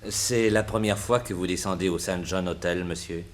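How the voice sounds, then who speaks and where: Male actor, clergyman, very deep voice, formal, courteous, soothingly unctuous, old French radio drama. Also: moderate pace, natural voice. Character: unctuous, reassuring hotel-guest clergyman. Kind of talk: 1960s French radio mystery acting.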